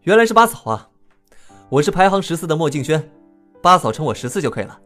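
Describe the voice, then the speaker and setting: An actor playing a frank, excitable young prince, the outspoken younger brother, in an audio drama. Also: male voice, on the high side, fast, soft background music. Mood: cheerful, polite.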